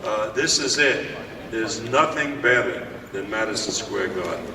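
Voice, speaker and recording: An older man with a New York accent, a boxing promoter reminiscing slowly at a press conference with many pauses. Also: fairly low pitch, animated, sharp tone. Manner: slow, reminiscing with many fillers. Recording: echoey hall, far from mic, noisy.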